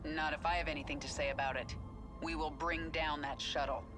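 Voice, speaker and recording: A woman's voice: low voice, fairly even intonation, a little fast, lightly acted. An actress playing a commanding, ruthless queen of an alien insect swarm in a video game, speaking in a curt, imperious, menacing way. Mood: defiant. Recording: loud music and effects underneath.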